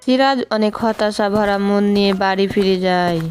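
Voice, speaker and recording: A woman's voice: medium pitch, normal speed, darkish tone. A woman narrating a scripted children's moral story. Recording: music behind the voice.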